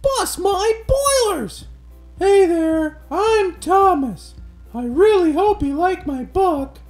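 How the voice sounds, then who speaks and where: Tiny perky train engine for a kids storybook, super high and slow, music under it. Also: female voice, lively intonation, darkish tone, full character voice. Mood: cheerful. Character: perky, friendly little talking train engine. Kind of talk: children's storybook video introduction.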